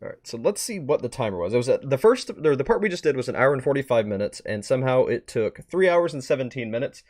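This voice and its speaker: A man hosting a livestream in a casual chatty style, rambling a bit as he thinks out loud. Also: medium pitch, sing-song, fast talker. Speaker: streamer and host. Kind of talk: casual livestream wrap-up chat.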